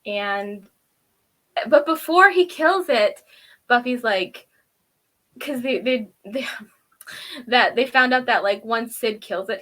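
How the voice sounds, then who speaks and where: A woman giving a casual, chatty episode recap as a show co-host, giggly and animated, acting out imagined reactions. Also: a little high.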